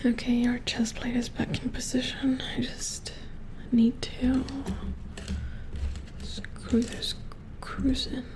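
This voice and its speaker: A woman's voice: fairly high pitch, flat delivery. Whispering female robot repair engineer, acted by a woman. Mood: puzzled, focused. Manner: hushed, halting, muttering to herself. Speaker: ASMR roleplay performer. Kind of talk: soft-spoken ASMR roleplay.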